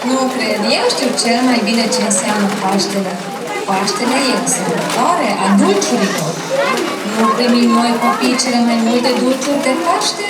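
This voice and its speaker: A high-voiced, bossy puppet child played by a woman corrects everyone emphatically in a children's show. The sound is roomy and distant, buried in very loud noise. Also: expressive, lightly acted. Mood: self-assured. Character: bossy know-it-all puppet child who loves sweets. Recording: echoey, distant, very noisy crowd.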